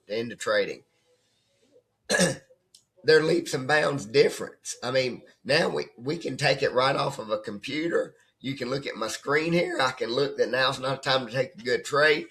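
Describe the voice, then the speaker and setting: A hoarse man who has nearly lost his voice, talking as a trading coach in a rambling, conversational way and often checking in with "right?". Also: medium pitch, a bit bright. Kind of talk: casual off-the-cuff coaching talk. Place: at his computer desk.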